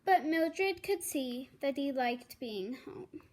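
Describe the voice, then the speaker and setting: A young girl reads a storybook aloud in a high voice. She goes slowly and carefully, sometimes halting over the words. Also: lively intonation, a little mellow, a little hiss or hum. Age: child. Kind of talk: children's storybook read-aloud.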